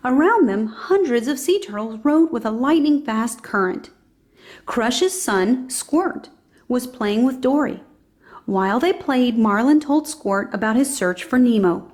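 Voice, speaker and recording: A woman's voice: on the high side, lively intonation, measured pace, darkish tone. A woman reading a children's storybook aloud as scripted narration.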